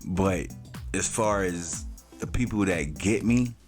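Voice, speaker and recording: A man's voice: low-pitched, slow, faint music behind. A man in his late forties talking in a loose, slangy way, with plenty of "you know" and casual swearing, as host of his own vlog. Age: 40s. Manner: loose and slangy, swears freely, filler-heavy. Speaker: channel host.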